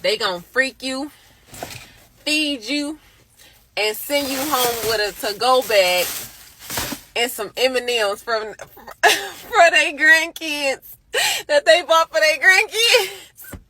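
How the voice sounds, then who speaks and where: A woman giving slow, laughing advice to listeners. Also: high voice, animated, pushed and bright, a little hiss or hum, rough recording.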